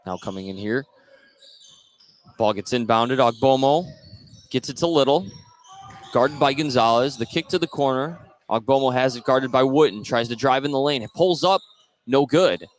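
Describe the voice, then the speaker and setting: A man with a mid-pitched voice calls a live basketball game as the play-by-play announcer. Light gym ambience sits behind his commentary. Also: expressive, normal speed, pauses often, slight background noise.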